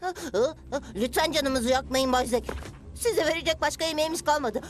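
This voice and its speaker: A woman's voice: high voice, a little fast, soft background music, lightly acted. A woman voicing a meek, gullible little cartoon bug with a pleading, simple manner. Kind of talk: animated cartoon dub.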